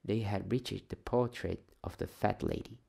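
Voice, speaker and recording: A man reading a bedtime story in a soft-spoken, unhurried ASMR style. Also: lowish voice, steady tone, vocal fry, slightly rough. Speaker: host of an ASMR story channel.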